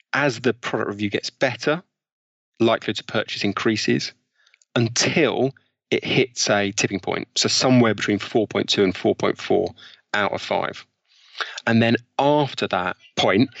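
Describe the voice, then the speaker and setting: Gravelly man explaining marketing studies. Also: fairly low pitch, a bit bright, no echo, muffled recording. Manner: explanatory, cites studies, frequent "you know". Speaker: author and guest. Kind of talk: podcast conversation.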